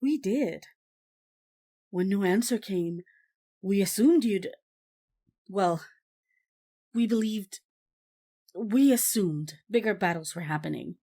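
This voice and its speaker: An actress playing an earnest, guarded villager from a besieged town in an audio drama. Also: female voice, mid-range voice, expressive, slow, long pauses, mellow. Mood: grave, reproachful.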